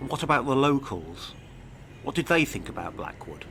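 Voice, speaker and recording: A man's voice: medium pitch, big pitch swings, normal speed, creaky, over music. A man hosting and narrating a scripted true-crime mystery series. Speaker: podcast host and narrator.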